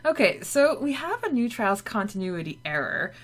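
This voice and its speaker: A woman's voice: fairly high pitch, very animated, moderate pace. A woman co-hosting a podcast, chatting casually and giggling often while discussing a story chapter. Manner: giggly, chatty, lots of fillers. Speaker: podcast co-host. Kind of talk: casual chat about a fanfiction chapter.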